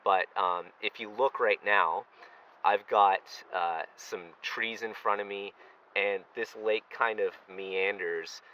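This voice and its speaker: A man's voice: fairly low pitch, fairly even intonation, slow pace, bright, forceful tone, dull audio. A man giving a casual, rambling, conversational product review, recorded outdoors. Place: outdoors on a lake.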